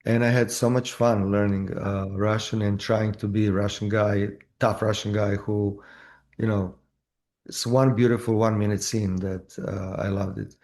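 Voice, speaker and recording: A man with a Croatian accent, an actor telling his story in a casual interview, halting with many fillers and restarts. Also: fairly low pitch, little pitch movement, darkish tone. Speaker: actor guest.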